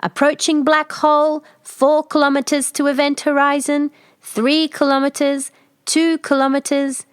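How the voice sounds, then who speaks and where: A high-voiced computer aboard a storybook spaceship, acted out by a woman. It makes urgent announcements to its crew. The sound is dry and close. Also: level tone, measured pace, no echo. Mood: alert. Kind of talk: picture book character voice.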